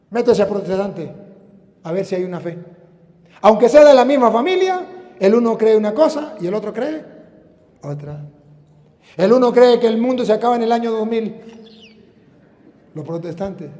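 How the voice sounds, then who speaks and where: High-pitched Venezuelan priest preaching in a hall. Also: male voice, a little mellow. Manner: emphatic, declamatory, drawn-out key phrases with rhetorical questions. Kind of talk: sermon. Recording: echoing hall with long reverb.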